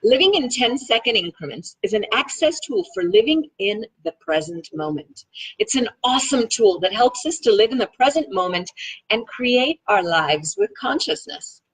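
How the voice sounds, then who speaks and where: A woman with a slightly husky voice reading a self-help book aloud in an enthusiastic, expressive way, with casual, chatty asides. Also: a little high, moderate pace, rough recording. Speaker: reader of a self-help book read-along.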